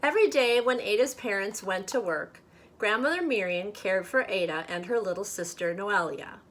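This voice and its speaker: A woman from a church talking to children and reading a storybook aloud in a deliberate, expressive way. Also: mid-range voice. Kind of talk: children's read-aloud and friendly talk to kids.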